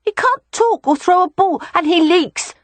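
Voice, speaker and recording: A woman voicing a playful four-year-old boy in an audiobook, with a high childlike voice. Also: expressive, unhurried, no echo. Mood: whiny. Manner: high, bright, childlike pleading.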